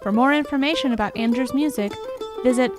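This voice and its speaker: A woman's voice: sits high, brisk. A woman announcer reading scripted closing credits for a podcast. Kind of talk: scripted podcast outro narration. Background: plucked mandolin theme music.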